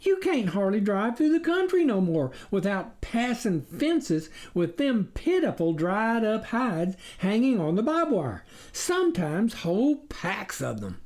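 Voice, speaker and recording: An earnest storybook farm wife acted out by a narrator, trusting but questioning. She talks in homely country phrases, with a lively, swinging pitch. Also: a little slow, hardly any pauses.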